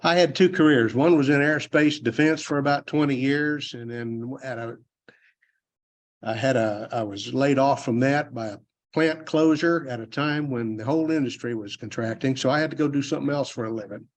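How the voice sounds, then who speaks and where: An older man with a Texas drawl gives a conference talk on a technical subject. He is plainspoken and folksy, rambles a little, and jokes about himself. His pitch and tone sit in an ordinary middle range. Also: normal speed. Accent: Texan. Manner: plainspoken, self-deprecating, loose and rambling. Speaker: conference speaker. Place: university convention hall.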